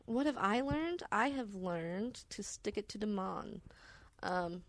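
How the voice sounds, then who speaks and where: A woman talking loosely as a podcast co-host, wry and self-deprecating. Her pitch is medium, and the sound is dry and close. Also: animated, slow, no room sound. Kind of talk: casual, rambling storytelling chat.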